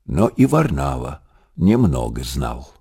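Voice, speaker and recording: An actor narrating a monastery tale in a radio play, lyrical and measured, with dramatic pauses. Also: male voice, very deep voice, sing-song, vocal fry, warm tone, breathy edge, exaggerated voice. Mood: hushed, storytelling.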